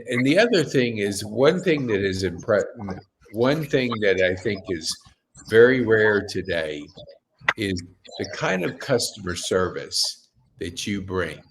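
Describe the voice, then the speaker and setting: A male podcast host with a low voice speaks slowly and deliberately. He talks in a halting way and keeps starting his sentences over. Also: fairly bright tone, a little hiss or hum. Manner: halting, with many restarts and fillers. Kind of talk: interview hosting.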